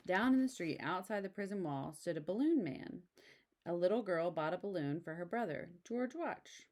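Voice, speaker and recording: A woman reading a children's picture book aloud in an expressive way, with casual asides. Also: on the low side, normal speed. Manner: expressive, exclaiming story delivery. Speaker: storybook reader.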